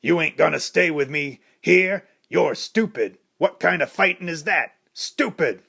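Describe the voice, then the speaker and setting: A man voicing an arrogant male heavyweight champion who taunts and boasts loudly. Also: fairly high pitch, expressive, measured pace, gravelly, slightly rough, pitched above the performer's own voice, exaggerated voice. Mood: taunting.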